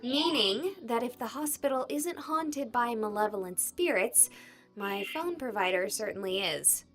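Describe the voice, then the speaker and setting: A woman playing an excitable young streamer in a game, with theatrical, emphatic delivery as she investigates a haunted hospital. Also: fairly high pitch, unhurried, soft background music. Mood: dramatic, determined. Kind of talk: voiced game character dialogue.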